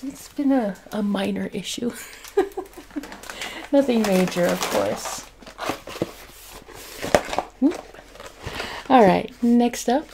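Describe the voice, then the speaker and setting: Soft-spoken woman with a gravelly voice. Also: medium pitch, slight background noise. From United States. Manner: soft-spoken, chatty, laughs often. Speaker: ASMR creator. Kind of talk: soft-spoken ASMR grocery haul. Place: home kitchen, indoors.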